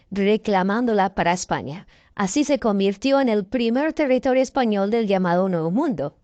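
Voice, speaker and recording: A woman reading a scripted news documentary narration in a clear, measured way. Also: on the high side, a little mellow, dry recording.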